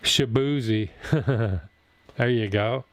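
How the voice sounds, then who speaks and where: Chatty man in his sixties, smooth voice. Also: mid-range voice, drawn-out words. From Southern Ohio. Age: 60s. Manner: rambling, chatty, answers chat as he reads. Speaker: food channel host live streaming. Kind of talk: casual live-stream chat reading viewer comments.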